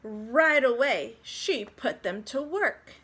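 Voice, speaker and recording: A woman with a high voice narrates a picture book for children. Her delivery is very slow and deliberate, setting phrases apart expressively. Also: animated, fairly bright tone, husky. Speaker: storybook reader for children. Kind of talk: expressive scripted story narration.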